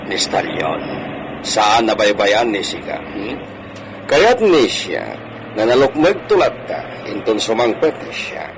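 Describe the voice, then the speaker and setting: Slow, courtly hacienda gentleman. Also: on the low side, big pitch swings, noisy background. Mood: stern, instructing. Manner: formal, measured delivery peppered with Spanish. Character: wealthy, courteous Spanish-speaking hacienda gentleman, devoted husband. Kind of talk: Ilocano radio drama acting.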